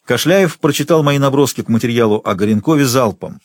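A man's voice: mid-range voice, fairly quick, no echo. A man reading a first-person literary story as an audiobook narrator, expressively shifting tone as he goes.

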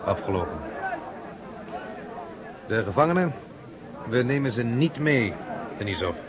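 A hard Russian officer in a radio play. He speaks curtly and coldly, matter-of-fact even in grim moments. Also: medium pitch, measured pace, crowd noise. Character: cold, hard Russian officer, unmoved by death. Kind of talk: radio drama acting.

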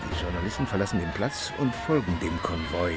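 An actor playing a commanding government official who directs a covert plan with deliberate authority in a radio play. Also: male voice, deep voice, animated. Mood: resolute.

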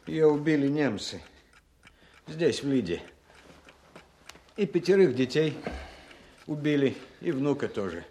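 An actor playing a humble, kindly old provincial tailor and grieving widower, speaking in unhurried, deferential, halting phrases in an audio drama. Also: male voice, a little high, a little mellow, slight background noise. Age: older. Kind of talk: Soviet-style audio drama dialogue.

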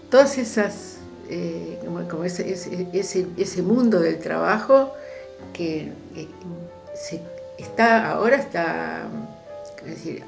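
A woman with an Argentine accent reflecting in an interview, halting, stressing key words. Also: on the low side, vocal fry. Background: plucked guitar music.

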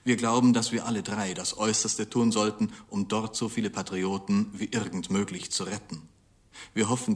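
An earnest wartime statesman voiced by a man delivers a diplomatic message. His voice is fairly low, and the radio-drama reading is formal and measured. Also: close to an everyday voice. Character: earnest male wartime statesman dictating an urgent telegram.

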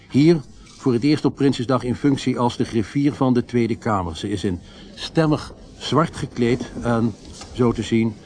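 Man acting radio event commentator, formal, descriptive, medium pitch, radio drama. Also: dark tone, natural voice. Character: male radio reporter covering a royal ceremony, formal, later shaken.

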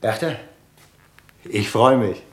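An actor playing a defiant, half-mad condemned prisoner in a German radio play, volatile between hushed words and outbursts. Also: male voice, lowish voice, takes it slowly, reverberant.

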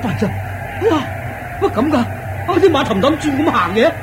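A man playing an excitable, fussing chancellor of a royal court in a comic radio drama scene. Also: extremely high pitch, normal speed, background noise. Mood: panicked. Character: flustered male chancellor of a court. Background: music.

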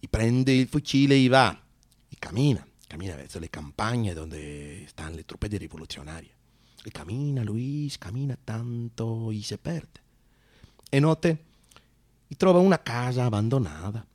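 A man playing a blind old Colombian priest figure with a Spanish accent, telling a story slowly and dramatically in a stage monologue. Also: medium pitch, animated, a little mellow, dry recording. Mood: hushed, suspenseful. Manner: slow, dramatic storytelling mixing Italian with Spanish.

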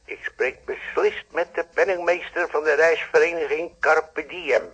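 An actor with a Netherlands Dutch accent playing a coolly insistent, blackmailing moneylender in a radio play, measured and falsely polite. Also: male voice, a little high, a bit bright, husky. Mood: insistent, calculating. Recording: dull, band-limited old radio play.

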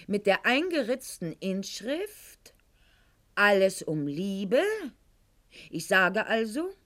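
Haughty witty aristocratic lady, radio drama monologue, real slow and deliberate, very lively intonation, ironic. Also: medium pitch. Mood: scornful. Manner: haughty, ironic, elaborately eloquent. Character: proud, sharp-witted aristocratic lady, scornful and self-justifying. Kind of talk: theatrical radio play monologue.

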